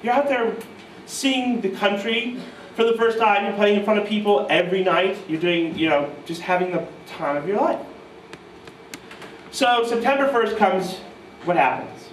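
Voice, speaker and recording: A man in his thirties from New York state, telling animated, self-mocking stories to a live audience at a guitar clinic. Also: sits high, moderate pace, slight background noise. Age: 30s. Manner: animated, self-mocking, mimics voices in quotes. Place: music store clinic room, audience present. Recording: roomy live sound from far off.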